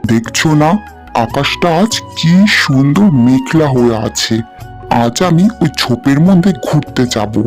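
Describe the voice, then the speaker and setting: A man's voice: medium pitch, animated, measured pace, over music. A man voicing a kindly, regal shrimp king in a cartoon fairy tale, speaking in a grand, declamatory way. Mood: cheerful.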